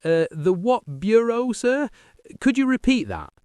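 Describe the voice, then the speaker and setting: A man voicing a young woman hotel receptionist in a comic prank-call story, crisp and professional. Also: very high-pitched, very animated, measured pace, darkish tone, dry recording, pitched above the performer's own voice, full character voice. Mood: polite, confused. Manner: crisp customer-service politeness. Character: polite, efficient young woman hotel receptionist, increasingly sceptical.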